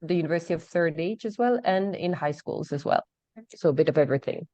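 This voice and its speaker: A woman professor introducing herself on a video call from a coffee shop, speaking briskly and upbeat. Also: low voice, expressive, mellow, no room sound. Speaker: adjunct professor. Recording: dull dry audio.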